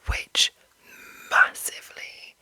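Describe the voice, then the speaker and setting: A woman whispering an informal, rambling ASMR explainer as the host, in a breathy, unvoiced voice. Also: slow, pushed and bright, dry recording. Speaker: host of ASMR video series on roleplaying games.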